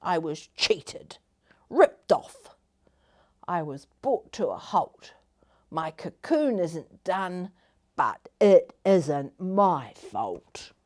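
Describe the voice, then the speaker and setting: A woman's voice: sits low, expressive, slow, a little mellow. A woman voicing a lazy, whiny male caterpillar in a children's storybook, fussy and exclamatory. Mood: indignant.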